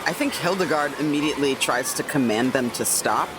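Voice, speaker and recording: A man's voice: medium pitch, animated, a little fast, noisy background. A man playing a tabletop role-playing game, chatting casually about what his character will do.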